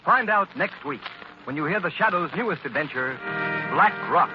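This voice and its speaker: A man's voice: fairly high pitch, animated, normal speed, faint music behind. A man announcing in scripted old-time radio style, with a dramatic, emphatic manner. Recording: dull, hissy old radio recording.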